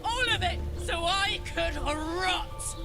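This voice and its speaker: A woman's voice: very high-pitched, slow, loud music behind the voice, over a noisy crowd, full character voice. A woman acting a brash but tender warrior who is grieving her own coming death in a video game, raw and heartfelt. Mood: anguished rage.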